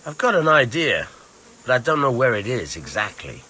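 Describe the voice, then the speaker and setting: A man's voice: medium pitch, very animated, moderate pace, pushed and bright, a little hiss or hum, low-quality recording. An actor playing a plain-spoken village tanner in a video game, a guarded father with rustic speech. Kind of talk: video game cutscene acting.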